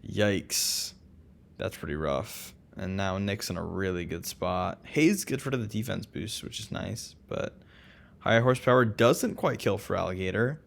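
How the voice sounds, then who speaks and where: A man casually commentating a game recap, relaxed and full of ums and restarts. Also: sits low, a little slow, creaky. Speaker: co-host of a league recap.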